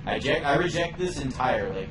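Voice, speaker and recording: A man's voice: mid-range voice, animated, brisk, echoey room, recorded from a distance, loud music behind the voice. Dry, mock-formal man gaming. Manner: dry, mock-formal, bursts of loud frustration. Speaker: gamer playing on stream. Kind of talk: casual chat while playing.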